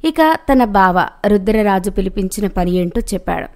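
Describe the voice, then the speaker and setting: A woman's voice: mid-range voice, steady tone, normal speed. A woman reading a scripted moral story as its narrator.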